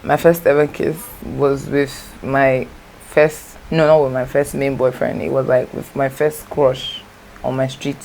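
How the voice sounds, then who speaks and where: Young Nigerian woman, Igbo first language, vlogger; very low voice, chatty and rambling, faint background hum. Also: little pitch movement, measured pace, darkish tone, poor audio. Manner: chatty, rambling, self-correcting. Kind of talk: casual storytime chat. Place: home, natural light.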